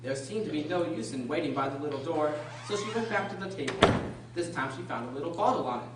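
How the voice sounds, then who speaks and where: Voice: medium pitch, lively intonation, fast, lightly acted. A performer playing a storybook narrator, reading a scripted radio drama aloud in a storytelling manner. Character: storybook narrator of a children's tale. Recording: very echoey, distant, noisy with clicks.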